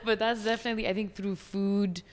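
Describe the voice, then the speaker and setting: A woman in her twenties, raised in France and Dubai, guest on a chat podcast, talking reflectively and often pausing to search for words. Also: mid-range voice, fairly even intonation, long reverb tail. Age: 20s. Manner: reflective, searching, many fillers.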